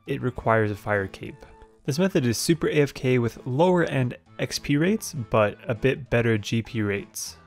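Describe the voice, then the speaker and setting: A man's voice: mid-range voice, gravelly. A young man narrating a video game tutorial in a plain, matter-of-fact way, with the odd "uh". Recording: faint background music.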